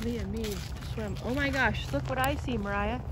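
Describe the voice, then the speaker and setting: A woman's voice: on the high side, normal speed. Mom from the states vlogging a road trip on her phone, chatty play by play, lots of car and outdoor noise. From United States. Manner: chatty, narrating each step of the day. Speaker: mother filming the family vlog. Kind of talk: casual running commentary to camera. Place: inside a car, then outdoors in a park by a lake. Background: road noise, wind and outdoor ambience.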